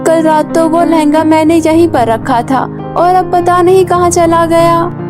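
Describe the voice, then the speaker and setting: A woman's voice: very high-pitched, steady tone, measured pace, over music, pitched above the performer's own voice. A woman voicing a meek, kind young orphan girl in a cartoon, polite and timid. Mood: worried. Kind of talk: cartoon voice acting.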